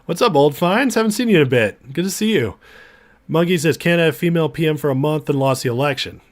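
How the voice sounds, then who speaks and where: A man's voice: a little high, animated, fast. An American man hosting a casual livestream, chatting informally and chummily with viewers and reading their comments, his voice starting to give out as he recovers from illness. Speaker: livestream host.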